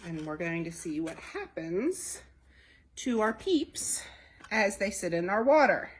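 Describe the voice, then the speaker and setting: Lively woman with a slightly husky voice. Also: mid-range voice, slow pace. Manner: simple explanations with questions to young children. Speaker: library storytime host for children. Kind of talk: children's activity and science demonstration.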